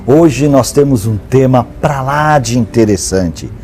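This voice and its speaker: Man, interview host, engaged questioner, warm mellow tone, faint background ambience. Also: mid-range voice, animated, unhurried, a little hiss or hum. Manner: engaged, with brief backchannel affirmations. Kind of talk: interview hosting.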